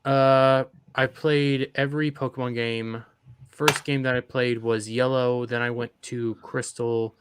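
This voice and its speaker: A man's voice: mid-range voice, level tone, a little slow, background noise. Young man hosting a gaming chat. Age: 20s. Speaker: stream host.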